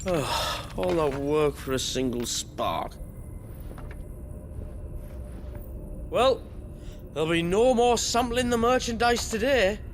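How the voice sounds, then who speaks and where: A man's voice: high-pitched, unhurried. An actor playing a bossy, jovial foreman of a hapless mining crew in a fantasy video game, hearty and commanding. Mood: exasperated. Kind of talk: video game dramatic dialogue. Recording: loud ambient noise over dialogue.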